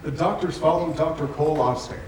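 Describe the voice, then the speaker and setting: Echoey male narrator, slightly high voice. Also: fairly quick, a little mellow, distant mic, slight background noise. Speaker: stage directions reader. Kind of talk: scripted narration at a staged reading. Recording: very echoey theatre from afar.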